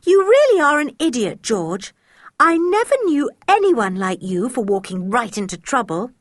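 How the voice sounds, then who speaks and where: A woman's voice: mid-range voice, animated, measured pace, no room sound, clean studio sound. A woman voicing a blunt, teasing schoolboy in a storybook reading, sounding exasperated.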